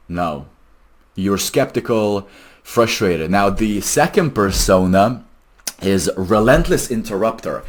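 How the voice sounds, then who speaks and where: A man presenting a casual explainer video in an informal, emphatic, salesy way with some slang. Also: deep voice. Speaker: AI phone agent developer presenting a video.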